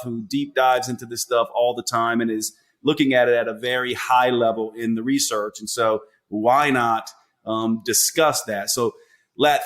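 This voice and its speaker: A man's voice: medium pitch, a bit bright. A man hosting a podcast, enthusiastic and rambling as he asks long, winding questions full of restarts. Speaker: podcast host. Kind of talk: interview questions.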